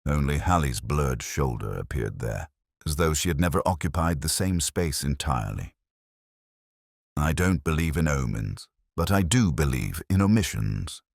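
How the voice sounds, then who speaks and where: A man with an extremely low voice narrates as a world-weary, middle-aged detective inspector. His delivery is reflective and literary, with wide melodic swings in pitch. The sound is dry and close, suited to an audio drama. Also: expressive, vocal fry, no echo. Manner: literary, measured, reflective, wry. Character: weary, introspective male English police inspector, middle-aged.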